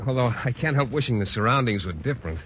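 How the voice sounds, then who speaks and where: A painfully shy biology teacher stumbles over his words, as an actor plays him in an old radio comedy. His pitch rises and falls lively as he hesitates. The broadcast sounds dull with a faint hiss. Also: fast, natural voice. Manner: hesitant, stammering, formal wordy. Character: bashful shy male biology teacher, adult. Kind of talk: 1940s radio sitcom acting.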